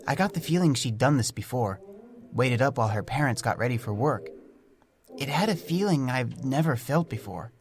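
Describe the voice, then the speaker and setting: A man voicing a gentle, naive, curious young pet creature, narrating an audio storybook in simple, thoughtful phrases with soft wonder. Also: medium pitch. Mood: quiet curiosity. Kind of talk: first-person storybook audio drama narration. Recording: music under the voice. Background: music.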